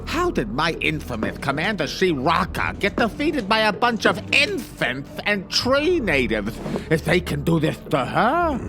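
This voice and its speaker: Unhinged evil king for sci-fi podcast, slow gloaty delivery, super swoopy, theatrical. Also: high voice, wildly swooping pitch, over music, heavily acted. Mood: flamboyant, demanding. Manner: theatrical, gloating, swooping between shouts and mutters. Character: flamboyant, gleeful villain king, theatrical and unhinged. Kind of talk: scripted audio drama acting.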